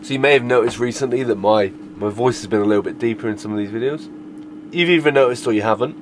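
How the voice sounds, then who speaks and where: Raspy man with a cold. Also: mid-range voice, fast, noisy background. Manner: relaxed, chatty, rambling asides. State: hoarse from a cold. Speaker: van life vlogger. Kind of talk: casual vlog chat to camera. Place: inside a camper van at a campsite.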